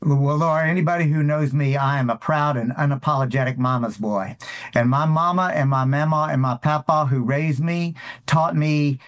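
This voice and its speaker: A man's voice: on the high side, moderate pace. A man in his sixties, an activist guest in an interview, speaking in a proud, emphatic way. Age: 60s. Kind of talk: interview answers.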